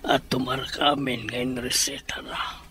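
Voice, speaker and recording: A man's voice: mid-range voice, fairly even intonation, measured pace, background noise, natural voice. A man acting an ailing, grave Filipino master of the house. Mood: weak, breathy. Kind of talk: Ilocano radio drama acting.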